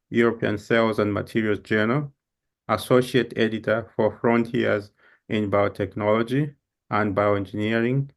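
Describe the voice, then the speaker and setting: A man with a fairly low voice reads out a guest's credentials at a seminar. He pauses now and then with an "uh" before handing over the floor. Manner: reads the introduction with small hesitations. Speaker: seminar host. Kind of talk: formal speaker introduction.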